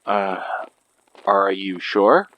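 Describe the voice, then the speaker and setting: A man's voice: low-pitched, drawn-out words, dull audio. A man playing a wary, level-headed detective in a horror audio drama, speaking in a blunt, understated way. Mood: hesitant.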